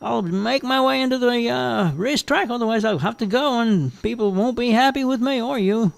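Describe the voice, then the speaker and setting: A man's voice: very high-pitched, moderate pace, gravelly, dry recording, pitched above the performer's own voice. A man voicing a brash woman who poses as a rich horse owner, self-important, with a thick put-on foreign accent. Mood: breezy, pushy.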